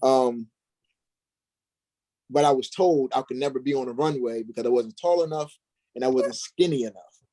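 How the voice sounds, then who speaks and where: A man's voice: mid-range voice, normal speed, long pauses, dark tone. A middle-aged man being interviewed as an actor, telling personal stories in an informal, slangy way. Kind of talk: casual personal storytelling.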